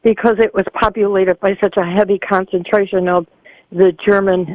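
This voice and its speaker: A man putting on a prim, chatty lady caller who rambles and halts with many ums. The voice sits high and comes through a tinny phone line with no room at all. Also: flat delivery, moderate pace, no room sound, natural voice. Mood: earnest. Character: fussy older woman caller, chatty and proper.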